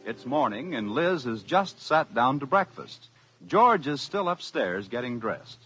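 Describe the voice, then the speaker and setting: A man's voice: medium pitch, big pitch swings, measured pace, fairly bright tone, breathy edge, poor audio. A man announcing a 1940s radio comedy in a formal, polished style. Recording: old radio broadcast over music.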